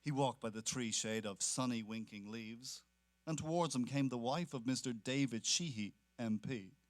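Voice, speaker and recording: A man's voice: mid-range voice, moderate pace, dry recording. Dry-sounding male literary narrator. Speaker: narrator in a staged reading.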